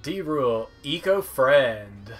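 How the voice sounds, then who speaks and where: A young man talks through a game in a casual, informal way. His voice has an average pitch, and his delivery rambles with a wry edge. Game music plays behind him. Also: big pitch swings, drawn-out words. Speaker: gameplay video commentator. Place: indoor room at home.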